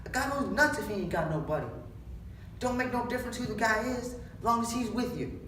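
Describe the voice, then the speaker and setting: A man's voice: high-pitched, expressive, a little fast, sharp tone, pitched above the performer's own voice. A young man reads the part of a lonely, taunting stable hand in readers' theatre. His speech is rustic and needling. He is heard at a distance in an echoey room with faint noise. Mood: bitter, lonely. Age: young adult. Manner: rural American dialect, read aloud with needling persistence. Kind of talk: readers' theatre script reading.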